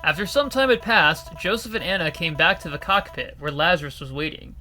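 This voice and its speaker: A man narrates a story in a steady, expressive reading, his voice bright and forceful and pitched a little high. Soft music plays faintly behind him. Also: brisk. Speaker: narrator reading a visual novel.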